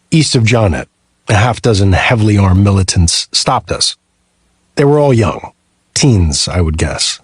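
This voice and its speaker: A man's voice: low voice, slightly rough, dry recording. A man narrating a first-person thriller audiobook from a script.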